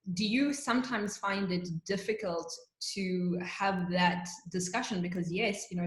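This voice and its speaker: A Zimbabwean-born woman interviewing guests, heartfelt and conversational, with hesitant fillers. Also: mid-range voice. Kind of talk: casual interview talk. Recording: echoey room.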